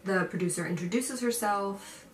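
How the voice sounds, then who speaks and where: A woman's voice: medium pitch, normal speed. A young woman chatting casually as a vlogger, informal and emphatic, repeating phrases as she tells stories and gives encouraging advice. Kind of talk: casual chat to camera, storytelling and advice. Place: indoor room. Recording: roomy echo, distant mic, faint noise.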